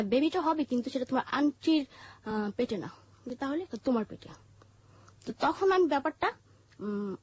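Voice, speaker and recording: A woman with a Bangladeshi accent telling her life story in an interview, haltingly, with pauses and repeated phrases. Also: sits high, a little mellow, dry recording. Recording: muffled, dull lo-fi audio.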